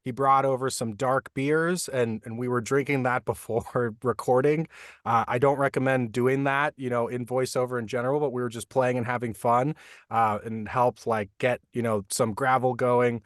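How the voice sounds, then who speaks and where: A man who works as a voice actor, explaining his craft in a relaxed, thoughtful way with many fillers, from a home recording booth. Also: medium pitch, moderate pace, no room sound, studio quality. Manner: thoughtful, frequent fillers like "like" and "you know". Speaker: voice actor guest. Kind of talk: casual interview answers.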